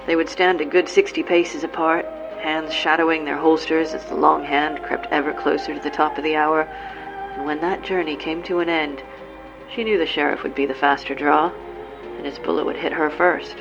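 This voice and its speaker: A woman narrating a western tale in a measured, dramatic storytelling manner. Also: sits low, little pitch movement. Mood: solemn. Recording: dull lo-fi with music.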